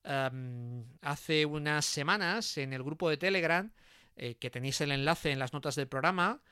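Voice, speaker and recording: Hesitant man, bright and crisp. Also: fairly high pitch, moderate pace, no echo, studio quality. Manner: hesitant, many fillers and restarts. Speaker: podcast host. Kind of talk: casual chat.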